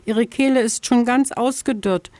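A woman's voice: a little high, normal speed, a little mellow, dry recording, voice pushed up, lightly acted. A woman voicing a small, frightened hare in a children's radio story, speaking in a timid, pleading way.

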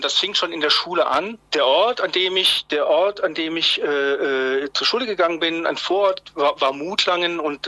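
Male, 50s, from southwest Germany, phone interview guest; very bright, dry voice, halting delivery. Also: a little high, no echo. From Swabia, Germany. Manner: hesitant, many fillers and restarts, tag "ne?". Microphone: telephone.

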